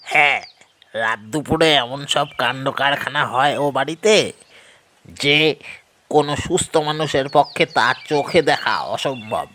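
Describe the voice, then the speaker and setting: An actor playing a grave local man, recounting a haunted house's history in a cautionary, deliberate way for an audio horror story. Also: medium pitch, animated, bright, forceful tone, slight background noise. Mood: grave, warning.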